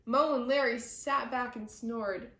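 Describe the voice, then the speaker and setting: A high-pitched woman narrates a children's story. She takes it slowly, with deliberate dramatic phrasing, in a room with noticeable echo. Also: fairly even intonation, fairly bright tone. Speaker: storyteller reading a picture book aloud. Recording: roomy echo.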